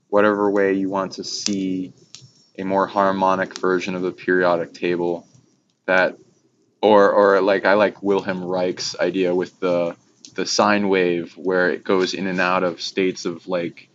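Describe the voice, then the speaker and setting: Male, very low and flat, halting casual rambler on esoteric subjects; dull recording with noise behind. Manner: halting, trailing phrases with abstract jargon. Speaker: speaker on esoteric topics. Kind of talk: casual rambling spiritual discussion.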